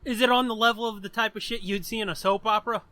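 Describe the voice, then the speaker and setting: A man with a high, bright voice, recorded dry. He chats casually as a podcast co-host, informal and blunt, swearing freely as he talks pop culture. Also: steady tone, quick pace, dry recording. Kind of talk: casual pop-culture chat, reading listener questions.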